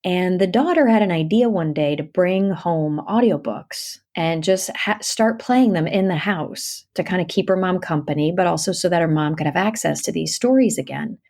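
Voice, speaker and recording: A middle-aged woman, a guest and audiobook narrator, chatting casually and animatedly in an interview, telling stories with lively swings and laughs. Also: lowish voice, moderate pace, few pauses.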